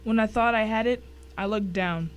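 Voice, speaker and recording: A woman as a mid-pitched, grim thriller narrator. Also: moderate pace, dull audio, a little hiss or hum, natural voice. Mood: grim, wry. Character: grim, wry thriller narrator recounting a dangerous ordeal. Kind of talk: dramatic first-person scripted narration.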